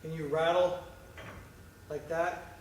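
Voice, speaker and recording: A man investigating a haunted theatre, calling out slowly and politely to a spirit and asking it for a sign. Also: fairly high pitch, little pitch movement, a little hiss or hum. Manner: slow, coaxing and polite. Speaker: paranormal investigator. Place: large old theatre, indoors. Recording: echoey hall from far off.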